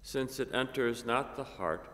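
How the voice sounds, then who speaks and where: An older man, a priest, reading a gospel and preaching a homily at a lectern microphone, measured and halting with many fillers. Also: medium pitch, a bit bright. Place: cathedral chapel, at a lectern. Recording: long reverb of a chapel.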